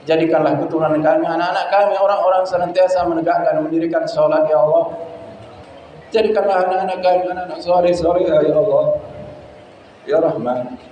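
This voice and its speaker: A man's voice: on the high side, fairly even intonation, quick pace, mellow, a little hiss or hum. A male preacher leading a fervent, pleading prayer at the close of a sermon. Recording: echoey hall, dull lo-fi sound.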